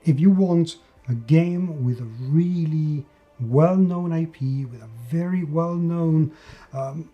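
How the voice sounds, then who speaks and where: A man's voice: a little high, dark tone. A man giving an opinionated review as a show host, speaking emphatically and repeating words to stress his points. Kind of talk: opinionated review talk to camera.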